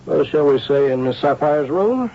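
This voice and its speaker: An actor playing a shrewd, persistent police inspector, dry, courteous and probing, in a radio drama. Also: male voice, fairly high pitch, lively intonation, normal speed. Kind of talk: radio drama acting and narration. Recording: dull, noisy old radio recording.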